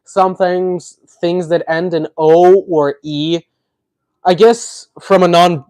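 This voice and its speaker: A man's voice: high voice, fairly even intonation, slow pace, darkish tone, no room sound. A man, a native Russian speaker with a slight Russian accent, hosting a casual livestream. He chats while building, laughs often and answers viewers' comments. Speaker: livestream host.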